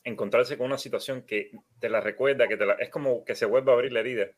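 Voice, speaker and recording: A man's voice: medium pitch, fairly even intonation, quick pace, a loud crowd. Guy co-hosting a talk show, mid voice, reads out a comment and chimes in briefly.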